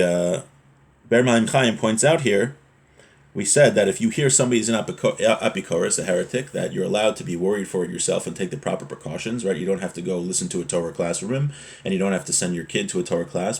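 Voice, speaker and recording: Low-voiced man teaching a religious text. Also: quick pace. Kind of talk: informal Torah lesson, reading and explaining a text.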